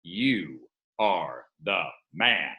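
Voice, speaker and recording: Man playing bright, chummy boss god. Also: deep voice, very animated, full character voice. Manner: casual, chummy, halting. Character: Zeus, genial male boss god, casually boastful. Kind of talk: comedy script table read.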